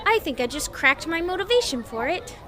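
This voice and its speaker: A woman's voice: very high-pitched, very animated, fairly quick, crowd noise. A woman playing an assertive diva in a superhero musical audio drama. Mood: politely pushy. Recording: music behind the voice.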